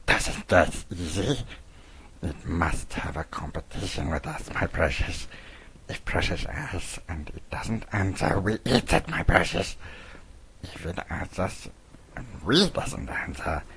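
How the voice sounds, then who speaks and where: A man voicing a slimy, hungry cave creature who wheedles and threatens in raspy, drawn-out hisses as he talks to himself in a storybook reading. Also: low-pitched, sing-song, a bit bright, full character voice. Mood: menacing, wheedling. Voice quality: hissing, raspy, creaky.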